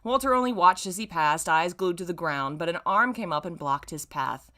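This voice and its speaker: A woman's voice: lowish voice, expressive, moderate pace, pushed and bright. A young adult woman hosting a read-aloud of fan fiction, delivered theatrically with playful, exasperated casual asides. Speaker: host reading old fan fiction aloud with commentary.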